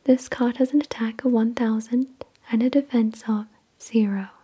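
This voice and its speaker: A woman whispering in a breathy, unvoiced voice, reading in a gentle, measured ASMR style. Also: a little high, fairly even intonation. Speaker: ASMR host. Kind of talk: whispered ASMR card unboxing, scripted reading.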